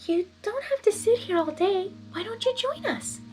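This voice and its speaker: A woman voicing a timid, gentle young female pegasus, soft and hesitant. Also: extremely high pitch, expressive, moderate pace, soft background music, pitched above the performer's own voice.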